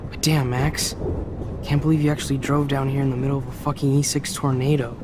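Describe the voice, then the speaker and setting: A man's voice: medium pitch, level tone, a little fast, natural voice. Man acting young male student, nerdy, loyal, casual, wry, earnest, game drama, noticeable background noise. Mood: relieved, wry. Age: young adult. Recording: storm noise behind.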